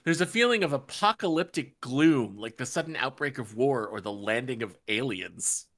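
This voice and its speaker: A man's voice: mid-range voice, expressive, moderate pace, a bit bright. A man reading stage directions at a casual table read, cutting in with wry, sarcastic asides.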